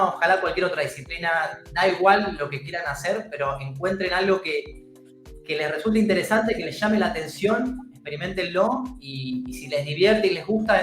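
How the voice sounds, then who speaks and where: Argentine male guest, upbeat and encouraging, slightly high voice, roomy call audio. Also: fairly bright tone. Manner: enthusiastic, encouraging. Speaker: calisthenics instructor guest. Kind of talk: casual interview chat. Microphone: video call.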